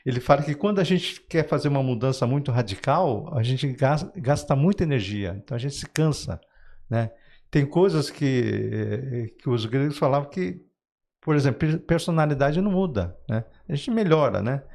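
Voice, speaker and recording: A man's voice: medium pitch, lively intonation, moderate pace, gravelly, a little mellow. Brazilian man explaining things conversationally. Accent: Brazilian Portuguese. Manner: anecdotal, explains with everyday examples and frequent check-in tags. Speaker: guest psychoanalysis author.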